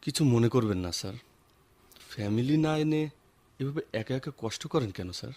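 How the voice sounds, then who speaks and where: An actor playing a polite, deferential office worker in a Bengali radio drama. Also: male voice, mid-range voice, lively intonation, a little fast, mellow. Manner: courteous, deferential.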